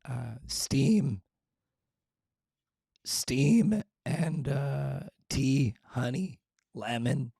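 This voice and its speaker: A man hosting a live stream, his voice hoarse and raspy, talking low and clearing his throat, making fun of how he sounds. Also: extremely slow, pauses often, dark tone, dry recording, studio quality. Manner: self-deprecating, frequent throat clearing. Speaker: show host. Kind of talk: casual live-stream chat.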